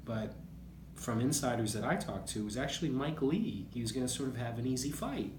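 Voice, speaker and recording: A man's voice: mid-range voice, expressive, a little fast. A man speaking as a boxing journalist guest, musing casually through a sports discussion. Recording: roomy echo, clear background noise.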